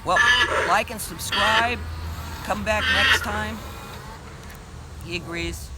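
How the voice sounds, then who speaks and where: A man's voice: high voice, slow, creaky. A man hosting a storytime, chatting casually to a small child and viewers outdoors amid wind and street construction. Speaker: storytime host. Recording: windy outdoor, loud street construction noise. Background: wind, construction, vehicle sounds.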